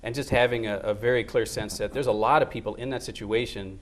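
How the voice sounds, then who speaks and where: Lowish male voice, politician talking about growing up, sincere and reflective. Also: fairly even intonation, fast. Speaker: political candidate guest. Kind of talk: interview answers.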